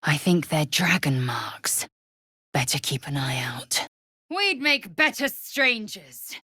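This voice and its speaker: A woman's voice: deep voice, big pitch swings, slow pace, sharp tone, husky, dry recording, studio quality, exaggerated voice. A woman voicing a proud, fierce alien warrior woman in a video game, with an imperious, clipped and contemptuous delivery. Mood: scornful.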